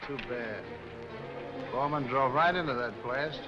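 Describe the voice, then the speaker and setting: A man's voice: medium pitch, a little slow, over music, close to an everyday voice. An actor playing a hard, menacing tunnel foreman in 1940s film serial style. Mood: cool, sly.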